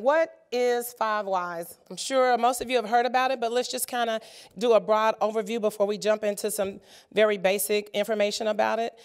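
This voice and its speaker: Woman in her fifties, workshop presenter, slightly raspy from a cold, smooth clear tone, lots of ums and uhs, encouraging. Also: mid-range voice. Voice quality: many filler ums and uhs. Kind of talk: conference workshop talk. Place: conference room.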